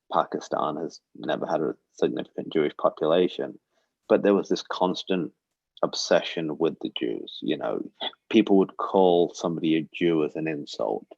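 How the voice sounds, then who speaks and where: A man's voice: a bass voice, gravelly, slightly rough, dry recording, lo-fi sound. A man in his forties giving an earnest, reflective personal talk, with frequent ums and uhs. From Britain. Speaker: guest speaker. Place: synagogue livestream.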